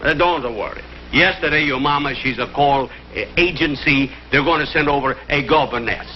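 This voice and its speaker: Man doing high-voiced harried Italian papa. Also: moderate pace, natural voice. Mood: exasperated. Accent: mock Italian. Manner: exaggerated broken English. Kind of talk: broad television sketch comedy acting. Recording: muffled noisy 1960s TV audio, audience.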